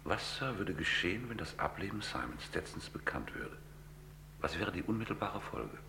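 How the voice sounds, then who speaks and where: Unruffled, courtly swindler for vintage radio thriller; creaky bass, deadpan politeness, noisy old recording. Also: male voice, exaggerated voice. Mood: calm, calculating. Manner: ceremoniously polite, measured, deadpan. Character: suave, scheming gentleman dealer, formal and unruffled. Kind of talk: German radio crime drama acting, mid-20th century.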